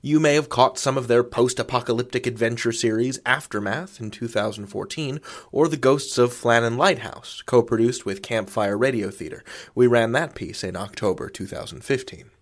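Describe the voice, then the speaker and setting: A man's voice: medium pitch, normal speed, few pauses. A man hosting an audio drama podcast, delivering a scripted introduction with theatrical, suspenseful phrasing. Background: music.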